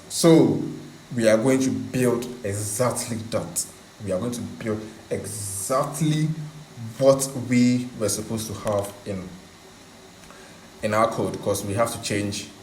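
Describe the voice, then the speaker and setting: A man hosting a tutorial, explaining in a casual, conversational, halting way and often repeating himself. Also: on the low side, darkish tone. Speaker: host of a coding tutorial channel. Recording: roomy echo, faint background noise.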